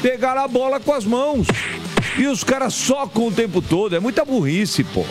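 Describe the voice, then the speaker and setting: Male Brazilian football radio host, high voice, emphatic and colloquial, opinionated chat over a clear music bed. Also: animated, normal speed. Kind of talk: opinionated sports commentary and chat. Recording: music bed under broadcast voice.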